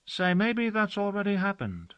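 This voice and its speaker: Man voicing a cheerful heavyset servant, loud and excitable, pitched up high, bright. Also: moderate pace, clear, resonant tone, highs cut off.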